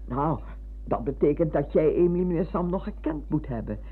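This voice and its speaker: A narrow, phone-like radio recording carries an elderly parent in a radio play. The voice is raspy and slightly high, chatty and full of opinions as it meanders along. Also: male voice, expressive, moderate pace. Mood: curious, reminiscing. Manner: gossipy, meandering. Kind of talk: Dutch radio play acting.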